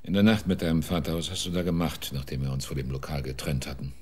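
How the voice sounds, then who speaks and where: An actor playing a private detective in a radio drama, asking short, probing questions. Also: male voice, a bass voice, quick pace, darkish tone, husky. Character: private detective Carvalho, dry, persistent. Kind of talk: German radio drama acting.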